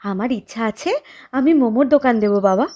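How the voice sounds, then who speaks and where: A woman's voice: fairly high pitch, fast talker, darkish tone, close to an everyday voice. A woman voicing an earnest young village woman who runs a food stall. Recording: dull lo-fi with music bed.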